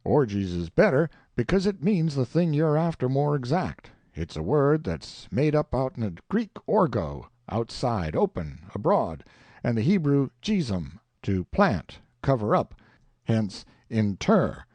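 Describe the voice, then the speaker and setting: A man voicing a pompous, long-winded older swindler who drawls slowly and grandly. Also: mid-range voice, very animated, a little mellow, dry recording. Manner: pompous, slow, self-satisfied.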